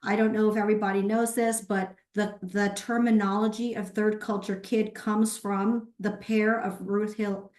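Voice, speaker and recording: A woman's voice: mid-range voice, flat delivery, moderate pace, hardly any pauses, darkish tone. A woman presenting at a webinar, explaining things step by step and posing rhetorical questions. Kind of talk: explanatory lecture with brief acknowledgements of participants. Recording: roomy, echoey sound.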